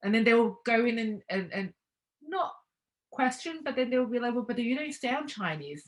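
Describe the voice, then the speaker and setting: A woman's voice: on the high side, expressive, normal speed. A young woman talking casually as an interview guest, reflective, with hesitant restarts and light laughs.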